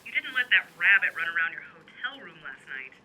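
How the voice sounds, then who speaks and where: Sharp, bright worried wife on the phone played by a woman. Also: on the low side, big pitch swings, brisk, slight background noise, full character voice, low-quality recording. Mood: pointed. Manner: pointed, gently nagging. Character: worried, reproachful wife and mother. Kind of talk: audio drama acting.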